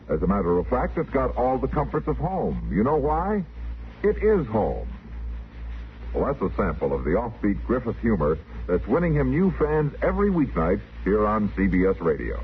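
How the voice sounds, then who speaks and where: A man with an American accent announcing a scripted 1950s radio promo in a polished, selling manner. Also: on the low side. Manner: polished, smooth salesmanship. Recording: noisy, tinny 1950s radio recording.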